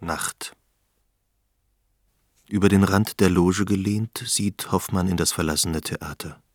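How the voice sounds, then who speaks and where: Male narrator, radio drama. Deep, slightly husky, measured literary delivery. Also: steady tone, no echo, studio quality.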